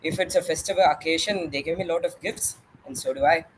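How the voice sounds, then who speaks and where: Teen indian guy, tamil speaker, slightly high voice, formal answers, a little background hiss. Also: fast talker, poor audio. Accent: Indian English. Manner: formal, careful phrasing with fillers. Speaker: student. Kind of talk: mock exam answers.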